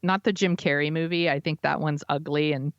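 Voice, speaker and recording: A woman's voice: sits low, steady tone, fairly quick, clear, resonant tone, no room sound, lo-fi sound. A woman co-hosting a podcast in casual chat, with an informal, enthusiastic manner. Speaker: podcast co-host.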